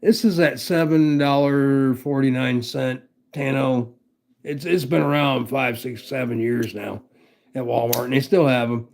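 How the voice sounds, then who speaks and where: A man's voice: mid-range voice, fairly even intonation, unhurried, creaky, a little mellow. An older man hosting a casual livestream, rambling, folksy and profane as he reads comments. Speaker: livestream host.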